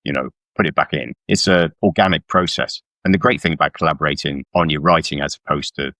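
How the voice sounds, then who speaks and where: A man with a very low, deep voice talks in a relaxed interview style as a guest author. He is informal and modest about himself, and the sound is close and dry. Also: fast talker, dry recording. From South Wales. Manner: self-deprecating, informal. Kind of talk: casual interview chat about work.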